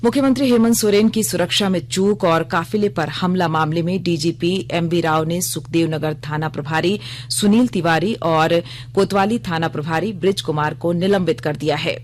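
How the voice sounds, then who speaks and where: A woman reading a scripted radio news bulletin in a formal, measured manner. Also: fairly low pitch, a little hiss or hum.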